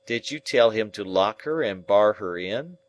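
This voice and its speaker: A man's voice: fairly low pitch, expressive, unhurried. A man voicing a delirious, terrified man who pleads and screams at a ghost he believes he sees. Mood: weak, clinging.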